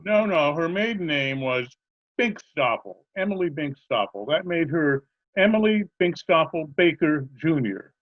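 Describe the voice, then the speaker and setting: A man's voice: a little high, sing-song, unhurried. A man playing a genial, scatterbrained butcher in old-time radio comedy style, chatty, earnest and politely literal-minded. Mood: earnest, muddled. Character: genial scatterbrained male butcher who muddles every question. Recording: dull remote video-call recording.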